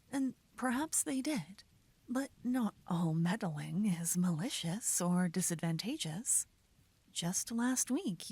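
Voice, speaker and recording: Breathy, whispery woman acting a devoted fantasy lover. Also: on the low side, a little mellow, dry recording, heavily acted. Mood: musing, gently reasoning. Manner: slow, intimate, soothing delivery. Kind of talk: romantic ASMR roleplay. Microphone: close, dry microphone.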